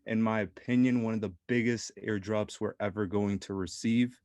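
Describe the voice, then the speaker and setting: A man's voice: on the low side, fairly even intonation, normal speed, a little mellow, no echo. A male host running a casual interview in an upbeat, encouraging way. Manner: upbeat, encouraging, agrees often.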